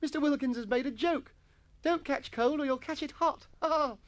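A man voicing an eager, earnest English schoolboy, over-polite and excitable, in a children's story reading. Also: very high voice, moderate pace, pitched above the performer's own voice. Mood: exaggerated delight.

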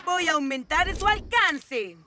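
High-pitched, smug boy villain. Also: lively intonation, very noisy, exaggerated voice. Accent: Latin American. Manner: gloating, theatrical, drawn-out taunts. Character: scheming, smug boy mad-scientist villain. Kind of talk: animated children's cartoon dubbing. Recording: music behind the voice.

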